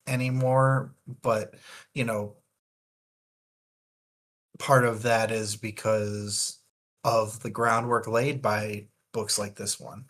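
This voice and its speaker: A man's voice: medium pitch, fairly bright tone. A man co-hosting a casual book discussion, thinking aloud in long, winding points. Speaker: podcast co-host.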